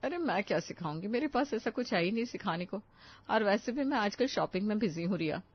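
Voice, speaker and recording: A woman's voice: mid-range voice, animated, brisk, breathy edge, no room sound, muffled recording. A woman voicing a prim, self-satisfied married neighbour in a family story, speaking in a coy, demure way. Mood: coy, reluctant.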